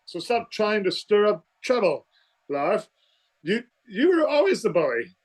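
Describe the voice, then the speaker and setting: A man's voice: sits high, sing-song, unhurried, full character voice. A man playing an earnest, easily put-upon small-town biology teacher in an amateur radio play. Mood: indignant. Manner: earnest, defensive.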